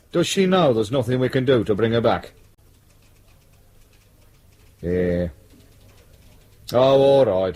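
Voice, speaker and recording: A man's voice: medium pitch, darkish tone. An actor playing a world-weary, sardonic English detective sergeant in his forties in a radio drama. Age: 40s.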